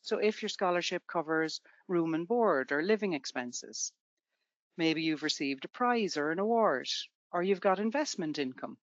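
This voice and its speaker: A woman's voice: lowish voice, animated, normal speed, no room sound, dull audio. Irish woman explaining tax forms. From Ireland. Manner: conversational, explains with everyday examples. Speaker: tax webinar presenter. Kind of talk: explaining to students in a webinar.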